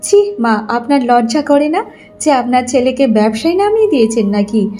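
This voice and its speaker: Woman acting a high-voiced, wronged young wife. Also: big pitch swings, moderate pace, dark tone, faint music behind. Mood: indignant. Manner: pleading, emotional. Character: wronged young housemaid wife, tearful but defiant. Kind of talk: Bengali cartoon story acting.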